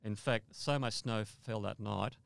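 Older male podcast guest, low and resonant, conversational storyteller who rephrases as he goes. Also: steady tone, a little slow, vocal fry, smooth, no echo. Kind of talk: conversational first-person storytelling.

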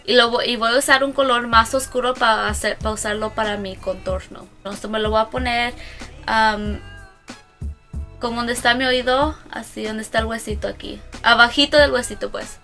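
A woman's voice: fairly high pitch, steady tone, moderate pace, sharp tone, faint music behind. A young woman, a native English speaker, chatting through a casual makeup tutorial in a small room, hesitant and self-correcting as she mixes in English words. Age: young adult. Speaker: beauty vlogger.

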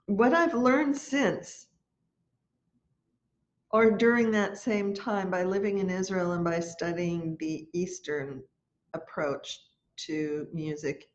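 A woman in her sixties, a choir leader, telling a story in an animated, chatty way during a lesson on a video call from home. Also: fairly low pitch. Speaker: choir leader and music teacher. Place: room at home, indoors. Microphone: laptop webcam on a video call. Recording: dull lo-fi video call audio.

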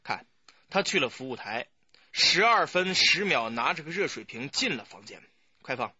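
A man's voice: on the high side, sing-song, normal speed, sharp tone, husky, no room sound, highs cut off, full character voice. An actor playing a keen, emphatic, self-assured young male police detective in a radio crime drama. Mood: eager, certain.

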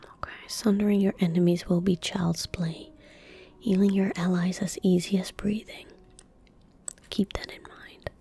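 A woman in her twenties speaking in a breathy, gentle whisper close to the mic, giving unhurried ASMR commentary as she plays a game. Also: lowish voice. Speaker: ASMR gaming streamer. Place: indoor room at a desk. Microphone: close desk microphone.

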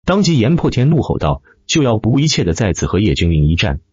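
A man's voice: fairly low pitch, big pitch swings, fast talker, darkish tone, slightly rough, no echo, highs cut off. A man reading a fantasy novel as dramatic scripted narration. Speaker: audiobook narrator.

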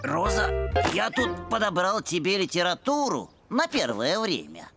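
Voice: mid-range voice, very animated, measured pace, music in the background. A performer voicing a bookish, stubborn know-it-all boy puppy in a cartoon, talking in a pedantic, condescending way. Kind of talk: animated cartoon voice acting.

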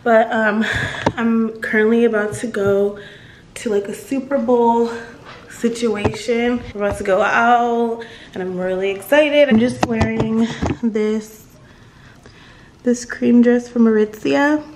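A woman's voice: a little high, fairly even intonation, takes it slowly, darkish tone, echoey room, a little hiss or hum, low-quality recording. Chatty young woman vlogging on a phone. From United States. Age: young adult. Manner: chatty, swears freely, says "like" a lot. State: tired. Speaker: travel vlogger. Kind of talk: casual vlog to camera. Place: indoors in a vacation house. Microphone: phone camera at arm's length.

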